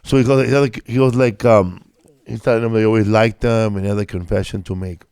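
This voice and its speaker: A man's voice: fairly low pitch, steady tone, a little fast, a little mellow, smooth, no echo. A man co-hosting a podcast, chatting casually about a movie and acting out scenes in Spanish. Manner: mimics characters in Spanish, laid-back. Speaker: podcast co-host.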